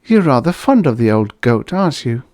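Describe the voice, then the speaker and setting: A man's voice: mid-range voice, big pitch swings, normal speed, a little mellow, no echo, studio quality, voice pushed up, close to an everyday voice. A man voicing a teasing, pert young wife in an audiobook story, with slangy, playful delivery.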